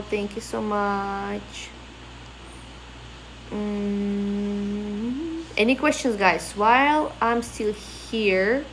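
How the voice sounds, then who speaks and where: A woman's voice: medium pitch, a bit bright, reverberant, slight background noise, rough recording. A woman from Russia in her thirties, speaking English with a Russian accent in a rambling, casual livestream. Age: 30s. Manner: non-native English, rambling. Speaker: livestream host.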